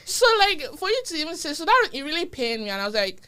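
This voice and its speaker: A woman's voice: high voice, fast, crowd noise. A young woman with a Nigerian accent, chatting casually on a podcast and telling stories with emphasis. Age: young adult. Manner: animated, emphatic storytelling. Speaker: podcast speaker. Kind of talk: casual conversation.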